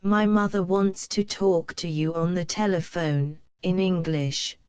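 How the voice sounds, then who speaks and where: A woman narrating a children's storybook in a slow, clear read-aloud manner. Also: on the low side, fairly even intonation, heavy background noise.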